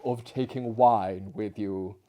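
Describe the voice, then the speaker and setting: A man voicing a gallant, ceremoniously apologetic French gentleman in a story, courtly and florid. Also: fairly low pitch, fairly even intonation, slow, voice pushed down. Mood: courtly apology.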